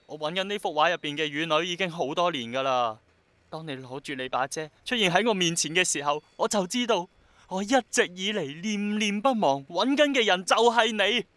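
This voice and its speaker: An idealistic young Hongkonger in a radio play. His fairly high voice carries a Hong Kong Cantonese accent and a passionate, persuasive earnestness. The sound is very dry, like a studio. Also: expressive, normal speed, sharp tone, no echo. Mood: earnest, excited. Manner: passionate, persuasive, romantic-minded. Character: earnest dreamy young man obsessed with bringing back rain. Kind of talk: Cantonese radio drama acting.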